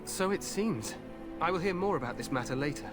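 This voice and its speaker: A man's voice: fairly high pitch, lively intonation, brisk, over music, natural voice. An actor playing a cheerful, overconfident young human king, speaking in a hearty, regal way.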